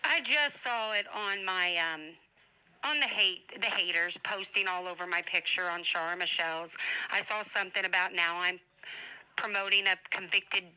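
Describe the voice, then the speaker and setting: This is a middle-aged woman with a mid-pitched, strikingly bright and tense voice. She talks informally, as if leaving a long voice message. Her manner is blunt and indignant, defending herself with plain, coarse language. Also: moderate pace, clean tone, poor audio. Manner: swears freely. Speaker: advocate and online broadcaster.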